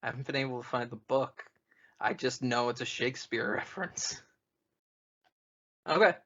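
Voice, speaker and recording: A man's voice: mid-range voice, normal speed, fairly bright tone, highs cut off. A man co-hosting a casual podcast chat in a jokey, easily amused manner. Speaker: podcast co-host.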